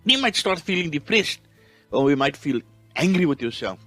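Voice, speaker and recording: A man's voice: a little high, very animated, moderate pace, sharp tone, no room sound, soft background music. A man with a South African accent hosting a motivational podcast, speaking in an earnest, encouraging way. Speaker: podcast host.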